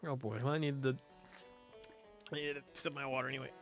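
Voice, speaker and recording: A man's voice: medium pitch, steady tone, fast. A man giving casual gameplay commentary, swearing and muttering asides as he plays. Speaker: let's player reading a visual novel aloud. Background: game music.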